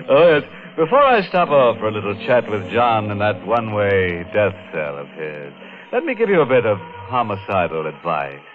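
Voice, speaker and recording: Vintage radio horror host, male: low, leisurely, gleefully macabre, sly, mock-friendly. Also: very animated, a little slow. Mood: ghoulish glee. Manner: mock-friendly, punning, savoring, chuckling. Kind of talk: 1950s radio horror hosting. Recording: muffled old radio recording with music.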